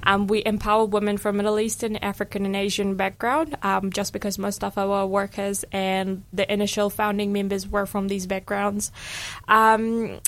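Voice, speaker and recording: A woman's voice: mid-range voice, flat delivery, moderate pace. A young woman hosting a casual community radio show in a studio, talking in a friendly way with many ums and restarts. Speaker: radio host.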